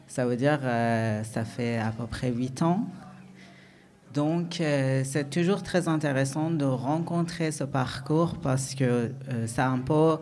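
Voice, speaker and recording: A man's voice: mid-range voice, slow pace, a little mellow. A man in his thirties from Iran, a native Persian speaker with a Persian accent, answering interview questions as a dance artist in a searching, reflective way full of hesitations.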